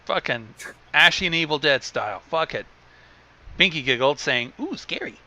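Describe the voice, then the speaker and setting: A man reading fan fiction aloud in an animated way, with crude, casual joking asides. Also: a little high, normal speed. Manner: crude, joking, puts on character voices.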